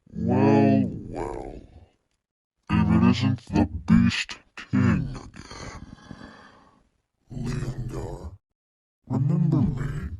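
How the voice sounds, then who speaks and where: Man as vengeful alien villain, very low voice, slow theatrical delivery, gravelly growl, game-style character monologue. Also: warm tone, slight background noise, full character voice. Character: menacing, vengeful alien psychic mastermind villain. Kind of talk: fan character voice clips, dramatic monologue.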